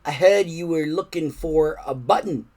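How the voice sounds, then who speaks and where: A man's voice: fairly high pitch, normal speed, darkish tone, close to an everyday voice. A man voicing a friendly male raccoon in a storybook read-aloud, in a plain helpful tone.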